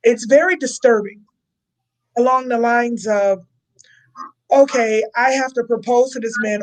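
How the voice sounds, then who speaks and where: Woman on a church panel, kinda high voice, emphatic and opinionated. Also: low-quality recording.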